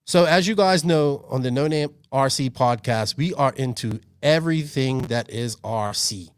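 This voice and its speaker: A chatty man hosts a casual podcast, upbeat and a little rambling. His voice sits at an ordinary pitch. The recording is dry, close and without room sound. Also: moderate pace, clean tone, dry recording. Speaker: podcast host.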